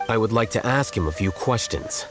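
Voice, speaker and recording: A man plays an aloof scholar who holds high office in a fantasy game, his voice pitched low and his delivery calm and clipped. He answers dryly and without warmth. Faint music sits behind him. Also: fairly quick, natural voice. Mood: questioning. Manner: calm, terse, dry and matter-of-fact. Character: aloof adult male scholar-official, cool and blunt. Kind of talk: game cutscene voice acting.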